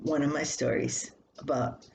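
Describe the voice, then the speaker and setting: An older woman with a slightly low voice reads a light personal column aloud. Her delivery is folksy and conversational, like home storytelling. The room adds noticeable echo, with faint noise behind her. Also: steady tone, moderate pace, creaky. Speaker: columnist reading own work.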